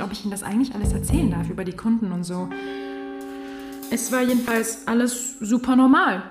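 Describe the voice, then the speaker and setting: A woman's voice: medium pitch, lightly acted. Woman as chatty 17-year-old schoolgirl, rambling, roomy sound, guitar behind. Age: teens. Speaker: high school intern. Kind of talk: radio drama monologue.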